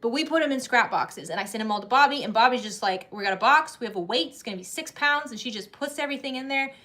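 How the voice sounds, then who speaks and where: A woman's voice: a little high, animated, fast talker, sharp tone. A woman in her thirties hosting a sewing channel, chatting casually with many restarts and frequent laughs. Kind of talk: casual livestream chat.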